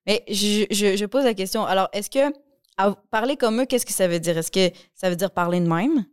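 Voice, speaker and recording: A woman's voice: mid-range voice, normal speed, clean tone. A woman from Quebec with a Québécois accent, interviewing others and asking probing questions with frequent restarts and repeated words. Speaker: interviewer, discussion facilitator.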